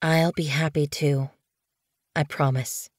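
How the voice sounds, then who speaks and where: A woman's voice: deep voice, steady tone, takes it slowly, darkish tone, no room sound. Woman reading a young she-cat, protective sister, earnest, bit anxious, dry audiobook sound. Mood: soothing.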